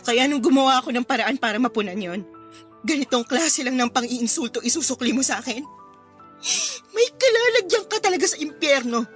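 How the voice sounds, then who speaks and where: Wronged wife and mother, high-pitched, emotional, scolding, Filipino radio drama, light music behind. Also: expressive, a little fast. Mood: bitter, angry. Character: hurt, angry wife and mother, bitter and scolding.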